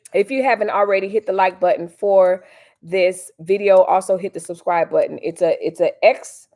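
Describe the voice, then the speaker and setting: An American woman with a fairly low voice hosts a casual livestream. She rambles in loose, folksy slang, drifting between commentary and replies to her viewers. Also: dark tone. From Miami, United States. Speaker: livestream host. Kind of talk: casual live chat with commentary.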